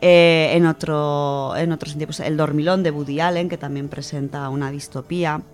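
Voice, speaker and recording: A low-pitched woman chats on a radio show. She talks in a lively way, with fillers and frequent laughs. Faint music plays underneath. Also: moderate pace. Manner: chatty, animated, laughs often, many fillers. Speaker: radio co-host presenting a literature section. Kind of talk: casual radio chat and story reading.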